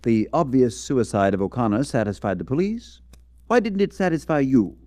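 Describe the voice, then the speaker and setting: An actor playing a suave, calculating husband turned killer in a 1940s radio drama, with polished, sardonic, formal speech. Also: male voice, medium pitch, very animated, normal speed, darkish tone, no echo, clean studio sound, lightly acted. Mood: cold menace. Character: suave, calculating murderous husband, coolly menacing.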